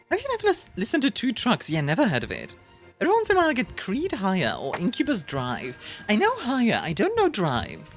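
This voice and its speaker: Lively giggly woman, British-leaning English. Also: mid-range voice. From Belgium. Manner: bubbly, giggly, chatty with frequent fillers. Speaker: streamer. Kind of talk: casual chat reading live chat.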